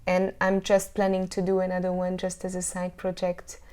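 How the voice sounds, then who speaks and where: A woman's voice: lowish voice, monotone, moderate pace, darkish tone, smooth. A woman with a Hungarian accent, a singer answering interview questions thoughtfully, often restarting her sentences as she searches for words. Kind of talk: casual interview talk.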